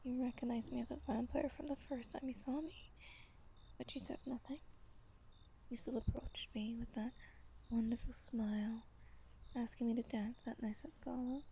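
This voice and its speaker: A woman playing an ancient, wistful vampire lover in a soft, breathy ASMR roleplay, telling her tale slowly and intimately. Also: on the high side, fairly even intonation, slight background noise. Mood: fond, tender. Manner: gentle, slow, intimate storytelling.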